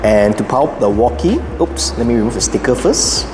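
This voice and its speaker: A man's voice: medium pitch, big pitch swings, brisk, noisy background. A man hosting a casual product guide, explaining things step by step. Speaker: YouTube host. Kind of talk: casual unboxing and setup guide. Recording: echoey room with long reverb, music behind. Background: clear background music.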